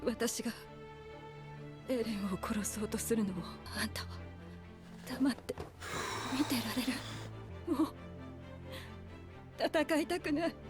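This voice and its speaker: Woman voicing anguished young soldier girl in anime, worn out and grave, highish voice, quiet playback w music under it. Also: animated, normal speed, pauses often, close to an everyday voice. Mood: tearful pleading. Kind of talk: Japanese anime voice acting. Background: dramatic music.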